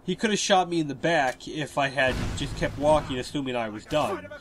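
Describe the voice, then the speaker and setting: A man with a stuffy cold, giving relaxed, explanatory gameplay commentary over a walkthrough. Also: medium pitch, moderate pace, background noise.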